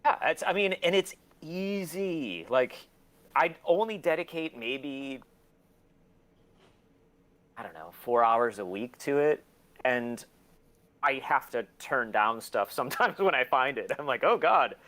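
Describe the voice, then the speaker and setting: A man's voice: mid-range voice, lively intonation, unhurried, pauses often, fairly bright tone. A man chatting casually as an interview guest, candid, profane and self-deprecating, telling rambling stories and acting out quotes. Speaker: guest, collector.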